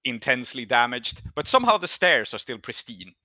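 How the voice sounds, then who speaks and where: Guy game mastering a tabletop rpg, really bright voice, thinks out loud, dry muffled recording. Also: mid-range voice, sing-song, fairly quick, dry recording. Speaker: game master of a tabletop role-playing show. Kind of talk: improvised game narration and rules talk.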